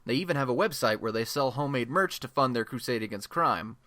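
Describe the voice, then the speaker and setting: An actor as bright-voiced, wisecracking American radio blogcaster. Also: male voice, medium pitch, lively intonation, fast talker, no echo. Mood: casual, upbeat. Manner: informal, wisecracking, conversational. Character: chatty, self-deprecating young male amateur superhero-city radio blogcaster. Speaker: radio show host. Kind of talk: casual podcast-style monologue in a radio play.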